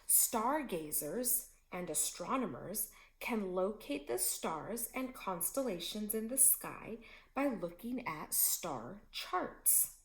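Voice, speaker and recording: A woman reading a children's picture book aloud as a teacher, in a slow and expressive storytelling manner. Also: mid-range voice, echoey room.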